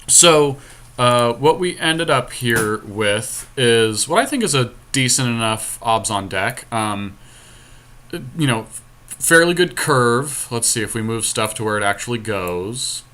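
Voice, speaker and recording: A man's voice: medium pitch, lively intonation, a little slow, sharp tone, a little hiss or hum. A man giving casual, conversational commentary as he plays a card game as a podcast co-host.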